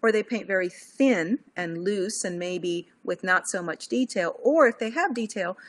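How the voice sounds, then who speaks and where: Middle aged woman, cuban born, chatty on a laptop call from her studio, lots of restarts, lowish voice. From Cuba. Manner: animated, thinking aloud with many fillers and restarts. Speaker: painter. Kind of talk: casual interview talk. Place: home studio indoors. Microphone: laptop webcam video call.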